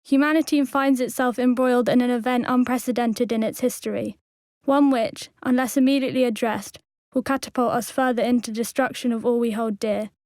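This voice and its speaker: A woman reading a scripted activist declaration, solemn, measured and formal. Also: on the high side, fairly even intonation, darkish tone, no room sound. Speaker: activist reader.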